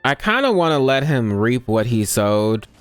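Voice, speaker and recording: Female let's play commentator, strikingly low and resonant, wry and offhand. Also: sing-song, normal speed, faint music behind. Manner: sardonic, wry asides. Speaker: gamer commenting on a playthrough.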